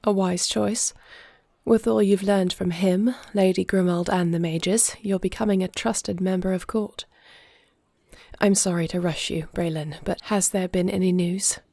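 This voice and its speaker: Woman acting as low, creaky-voiced regal queen. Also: steady tone, dark tone, no echo. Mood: composed, gracious. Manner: regal, formal, measured. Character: commanding dragon queen, gracious but worried. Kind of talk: audio roleplay drama acting.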